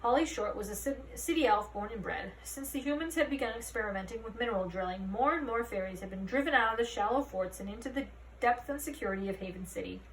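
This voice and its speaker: Distant, high-voiced woman reading a story. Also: quick pace. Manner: expressive storytelling, acting out dialogue. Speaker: read-aloud narrator. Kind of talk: reading a children's fantasy novel aloud. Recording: roomy, distant mic, faint noise.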